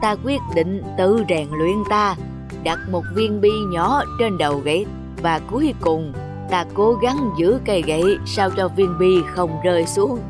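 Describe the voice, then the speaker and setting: A woman's voice: fairly low pitch, lively intonation, measured pace. A woman voicing a humble, patient old countryman in a cartoon, explaining things without hurry. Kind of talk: scripted cartoon dubbing. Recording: music under the voice.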